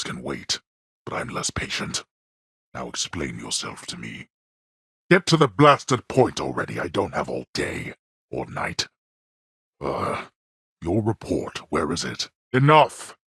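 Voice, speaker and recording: An actor playing a stern, imperious god of the underworld in a video game, pompous and disdainful in archaic, formal speech, with a gravelly, husky voice. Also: male voice, sits low, fairly bright tone, no room sound, studio quality, full character voice. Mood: impatient, exasperated. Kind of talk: theatrical video game character acting.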